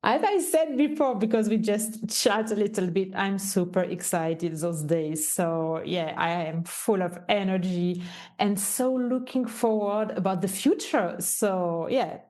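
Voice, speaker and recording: Slow, mellow Swiss French woman. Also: mid-range voice. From Switzerland. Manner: hesitant, frequent restarts, non-native phrasing. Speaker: guest. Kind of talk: casual interview conversation.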